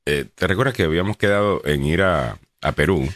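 A man's voice: low-pitched, fairly quick, fairly bright tone, no room sound, clean studio sound. A man hosting a morning talk show in casual chat, slipping English phrases into his Spanish.

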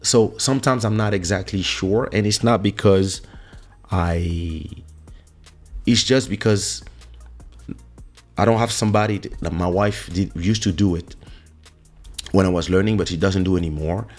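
A man from Congo with a very deep voice hosts a podcast in an easygoing monologue. He rambles and corrects himself mid-sentence, and soft music plays faintly behind him. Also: moderate pace, long pauses. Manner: relaxed, rambling, self-correcting. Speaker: podcast host. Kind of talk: casual monologue.

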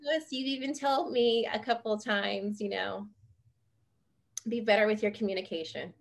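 A woman hosting a casual panel chat, talking in an expressive, effusive way and laughing easily. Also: mid-range voice, moderate pace, gravelly. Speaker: podcast host.

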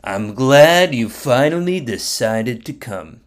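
A man playing an imperious, demanding king in a told story, with a commanding, drawn-out delivery. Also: medium pitch, animated. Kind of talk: storybook character voice.

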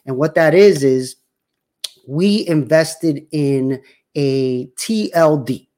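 A man's voice: on the high side, slow, mellow. A man in his forties hosting his own show, talking casually and rambling with many fillers and restarts. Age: 40s. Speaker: show host. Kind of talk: casual livestream talk.

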